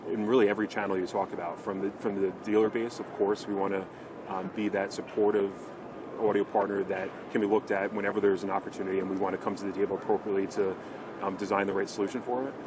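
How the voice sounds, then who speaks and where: A man's voice: fairly low pitch, level tone, quick pace. A man giving earnest corporate sales talk as a company representative, in an informal business interview at a trade show. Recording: clear show-floor background noise.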